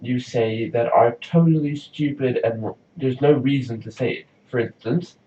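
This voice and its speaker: A man's voice: medium pitch, normal speed, warm tone. A young man from South Africa rambling casually in a video diary, leaning heavily on "like". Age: young adult. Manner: rambling, chatty, says "like" often. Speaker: vlogger. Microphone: camera mic from a distance. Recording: roomy, distant, dull lo-fi sound.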